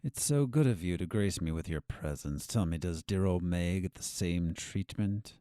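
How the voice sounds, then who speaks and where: An actor in an audio drama playing a scheming, sardonic captive doctor with a condescending, drawn-out delivery. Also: male voice, sits low, warm tone, no echo, studio quality, natural voice. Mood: mocking.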